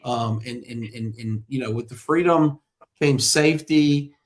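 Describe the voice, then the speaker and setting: A man's voice: medium pitch, measured pace. A middle-aged man hosting a conversational local interview show, speaking in an effusive, affirming way. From small-town Louisiana, United States.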